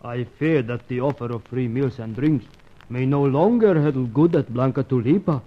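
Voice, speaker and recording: A man's voice: medium pitch, normal speed, natural voice. An actor playing a lovesick, desperate male Brazilian cabaret proprietor in a radio serial, speaking in formal, florid, imploring phrases. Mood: mournful, aggrieved. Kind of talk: old radio melodrama acting. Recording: dull lo-fi old radio recording.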